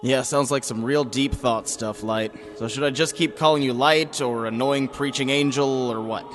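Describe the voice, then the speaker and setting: A man's voice: mid-range voice, normal speed, natural voice. A man playing a grumpy, reluctant monster-hunting hero in a comic audio drama, sarcastic and exasperated. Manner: exasperated, stilted heroic phrasing with slangy gripes. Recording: music behind the voice.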